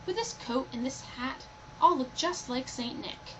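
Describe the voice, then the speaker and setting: A woman's voice: high-pitched, expressive, measured pace, fairly bright tone, recorded from a distance. A woman voicing a sour, scheming male Grinch from a Christmas storybook, with a snarling manner. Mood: gleeful scheming. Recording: noisy home computer recording.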